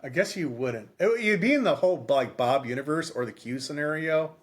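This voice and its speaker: A man co-hosting a podcast in casual chat, thinking aloud in a halting way. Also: a little high, expressive. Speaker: podcast co-host.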